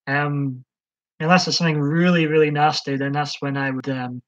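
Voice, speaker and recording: A man's voice: on the high side, flat delivery, moderate pace. A man hosting a casual live stream, chatting hesitantly with lots of ums as he answers viewers' comments. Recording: dull lo-fi stream audio.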